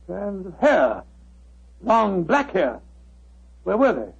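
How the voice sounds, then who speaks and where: A British actor playing an excitable, bumbling middle-aged doctor and loyal sidekick in a 1940s radio drama, stammering and exclaiming. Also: male voice, high voice, slow pace, vocal fry, breathy edge, dry recording, heavily acted. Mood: puzzled. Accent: British English. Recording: dull, dry 1940s radio recording.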